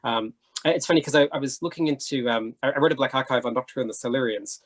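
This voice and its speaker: A man talks very quickly in a slightly husky voice. He is a chatty panelist who thinks out loud, dropping in frequent asides as he explains his views in a casual discussion. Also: mid-range voice. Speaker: panelist, writer.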